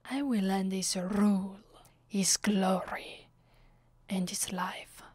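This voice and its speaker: A woman with an Italian accent playing a commanding, vengeful vampire in an ASMR roleplay, her voice soft and breathy, often sinking to a whisper. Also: medium pitch, no echo. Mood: cold, vengeful. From Italy. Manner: slow, deliberate, imperious. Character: commanding, vengeful female vampire, secretly affectionate. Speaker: ASMR voice actress.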